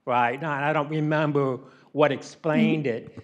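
A man's voice: fairly high pitch, fairly even intonation, a little slow, highs cut off. A middle-aged man asking a question from the audience, rambling and tagging his sentences with okay and all right. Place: conference ballroom. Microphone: handheld mic.

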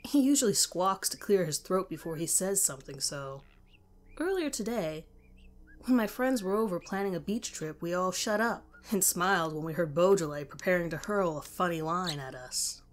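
A woman's voice: lowish voice, expressive, moderate pace. A woman reading a scripted first-person story as a narrator.

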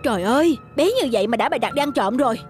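A woman's voice: high-pitched, animated, rapid-fire, over music. A woman voicing an angry, brash middle-aged female street vendor in a cartoon, scolding indignantly. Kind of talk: cartoon voice acting.

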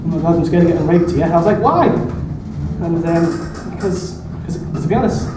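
A man's voice: fairly high pitch, normal speed. An eighteen-year-old man doing a stand-up set, who stutters and repeats words, nervous and self-mocking, chuckling at his own jokes. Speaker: stand-up comedian. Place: small dark club stage. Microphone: handheld mic, filmed from far in the room. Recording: echoey club room taped from far off, loud room noise.

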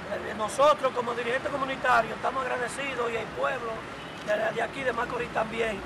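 A community leader speaks in a very high, flat voice, plain-spoken and grateful. Loud traffic noise fills the outdoor recording. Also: male voice, a little fast. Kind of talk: public thanks. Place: street outdoors.

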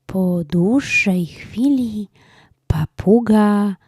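A woman narrating a children's story in a calm, scripted storytelling style. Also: mid-range voice, drawn-out words, breathy edge, dry recording, studio quality.

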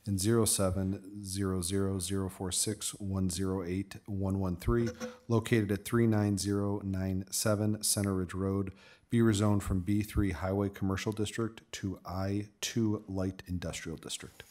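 A man's voice: deep voice, little pitch movement, a little slow, warm tone. A man serving as a clerk, formally reading official items aloud in a council chamber. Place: mid-sized council chamber indoors.

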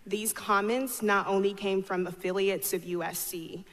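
A woman's voice: mid-range voice, steady tone, moderate pace. Young woman speaking in an echoing auditorium. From Ghana, grew up in North Carolina, United States. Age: 20s. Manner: formal, reading from notes. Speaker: student speaker. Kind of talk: prepared ceremonial speech. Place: large auditorium stage at a podium. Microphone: podium microphone. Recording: echoey auditorium with long reverb.

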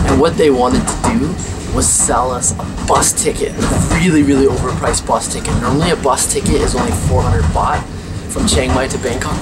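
A young man vlogging from a sleeper train berth to a handheld camera, telling a story in a wry, mocking way. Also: medium pitch. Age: young adult. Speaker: travel vlogger. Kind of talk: casual vlog chat to camera. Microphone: handheld camera at arm's length.

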